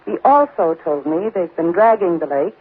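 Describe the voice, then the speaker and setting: An actress playing an anxious, scheming woman in 1940s radio drama, speaking in a nervous, halting way. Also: lowish voice, expressive, breathy edge. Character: Norma, anxious scheming woman hiding a murder plot. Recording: old radio, narrow telephone-like sound.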